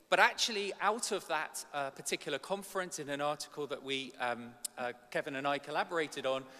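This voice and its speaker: A middle-aged man delivers an academic lecture in a large reverberant hall. His voice is bright and sits a little high. He hesitates often, filling gaps with ums. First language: English. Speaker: academic conference speaker. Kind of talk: conference lecture. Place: large ornate hall indoors. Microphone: gooseneck podium microphone.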